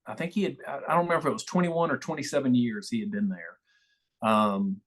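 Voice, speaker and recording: A man's voice: medium pitch, fairly quick. A man chatting casually and telling stories as a guest on a podcast. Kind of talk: casual chat, storytelling.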